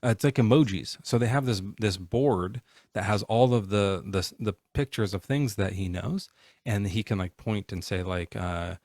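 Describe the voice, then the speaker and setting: Man in his forties, live-stream host, low pitch, dry, halting, rambly. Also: darkish tone, dry recording. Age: 40s. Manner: rambling, halting, many fillers and restarts. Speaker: livestream host. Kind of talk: casual live-stream chat.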